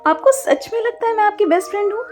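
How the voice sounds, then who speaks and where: A woman's voice: extremely high pitch, fast, a little mellow, soft background music, voice pushed up. A woman voicing a sensitive, introverted young girl in an audio story, with an earnest, emotional way of talking. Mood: delighted. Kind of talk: acted dialogue in audio storytelling.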